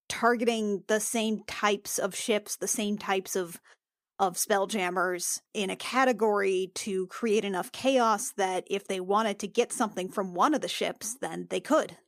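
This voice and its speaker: A woman playing a tabletop game, talking in halting, restarting phrases, half in character and half as herself. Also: mid-range voice, no echo, studio quality. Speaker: player.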